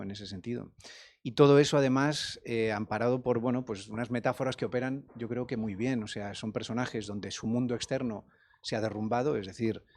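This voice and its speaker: A man, an actor, giving measured, reflective answers in a festival press interview. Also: medium pitch, darkish tone. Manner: measured, reflective, explains at length.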